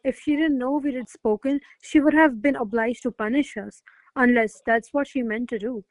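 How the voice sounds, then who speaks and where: Woman as imperious aristocratic lady for a classical radio drama: higher voice, jealous, formal, close dry sound. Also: moderate pace, no room sound, natural voice, poor audio. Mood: coolly gracious. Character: haughty noble lady, jealous, commanding mistress. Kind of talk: stage-style radio play acting of classical verse.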